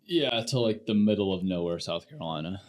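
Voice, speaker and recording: A man with a deep, low voice hosts a casual podcast conversation. His talk is loose and chatty, full of quick, self-deprecating asides. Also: lively intonation. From Phoenix, Arizona. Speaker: podcast host. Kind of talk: casual chat.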